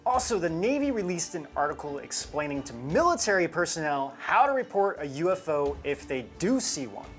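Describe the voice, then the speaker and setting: Lively woman co-host over background music. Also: low-pitched, fairly quick. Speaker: co-host of a UFO show. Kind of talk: conversational explainer commentary. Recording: music behind the voice.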